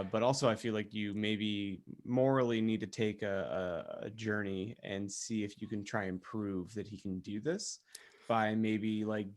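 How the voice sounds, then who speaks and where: A man co-hosting a podcast, chatting casually and comedically, thinking aloud in a halting way. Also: on the low side. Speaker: podcast co-host.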